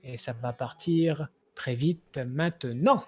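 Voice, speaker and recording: A man's voice: medium pitch, slow, husky. A man co-commentating a live sim race, teasing his partner and adding mock-formal jokes.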